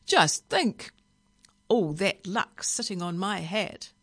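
Woman as an excitable, dramatic storybook bus driver man. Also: medium pitch, takes it slowly, husky, full character voice. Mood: delighted. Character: nervous, superstitious male bus driver, excitable.

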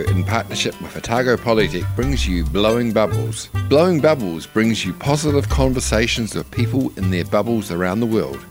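A man reading a scripted programme introduction in a measured, upbeat way. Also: medium pitch. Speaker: announcer. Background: music bed.

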